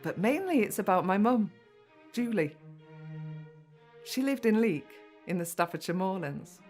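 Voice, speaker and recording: A woman's voice: medium pitch, sing-song, unhurried, a little mellow. An actress playing a woman who fondly narrates her mum's life story in an audio drama, over music. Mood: reflective, fond.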